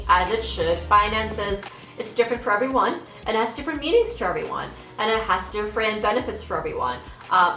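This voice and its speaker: A woman's voice: medium pitch, bright, forceful tone. A young woman, an Afghan American lifestyle vlogger whose first language is Dari, chatting casually to her viewers and mixing in Dari phrases. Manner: upbeat, mixes English with Dari, frequent "uh" and "like". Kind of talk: casual vlog talk to camera. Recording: echoey room from a distance with faint music.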